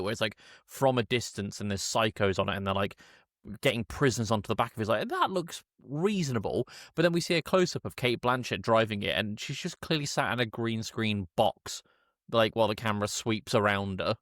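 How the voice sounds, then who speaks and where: A man hosting a casual film podcast with a very animated, swooping delivery. He is blunt and jokey and swears freely, and the recording is close and dry. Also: on the low side, brisk, no echo. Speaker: podcast host. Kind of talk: casual film review chat.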